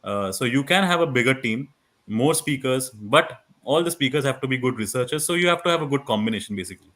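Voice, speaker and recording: A man with an Indian accent and a loud voice, explaining things conversationally as a guest expert, often restarting his phrases. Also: mid-range voice, lively intonation, fast. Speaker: guest expert on moot court. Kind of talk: conversational advice in an online workshop.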